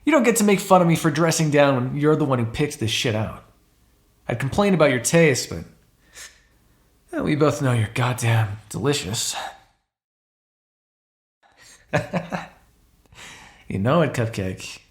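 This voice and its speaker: A man's voice: medium pitch, lively intonation, moderate pace, natural voice. Snarky, flirtatious male vampire played by a man. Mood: teasing, flirtatious. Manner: sarcastic, teasing, casual swearing. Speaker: audio roleplay performer. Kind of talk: ASMR roleplay acting, one side of a dialogue.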